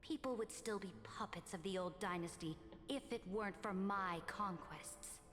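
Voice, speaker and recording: A woman's voice: mid-range voice, moderate pace, fairly bright tone, slight background noise, close to an everyday voice. A woman playing a proud, ruthless conquering empress in a video game, with a grand, commanding delivery. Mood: defiant. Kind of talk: dramatic video game voice acting. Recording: long reverb, game music underneath.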